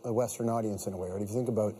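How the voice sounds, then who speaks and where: A man hosting a talk show, asking an actor questions in a quick, playful way. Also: lowish voice, fairly even intonation, mellow, a little hiss or hum.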